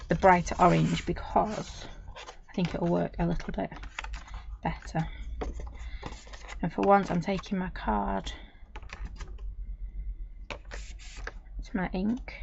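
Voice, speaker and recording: A woman with a slightly croaky voice, chattily talking viewers through a live craft demonstration. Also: on the low side. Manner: chatty, talks viewers through each step. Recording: dull lo-fi stream with noise.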